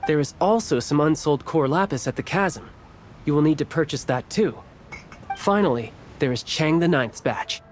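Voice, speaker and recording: An actor playing a composed, polite guild agent in a video game. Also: male voice, on the high side, animated, normal speed, a little hiss or hum, close to an everyday voice. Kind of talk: video game character acting.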